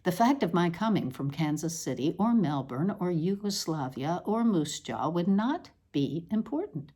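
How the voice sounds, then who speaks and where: Female literary reader, lower register, deliberate and declamatory, scripted dramatic delivery with emphatic repetition. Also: very animated, dark tone, breathy edge.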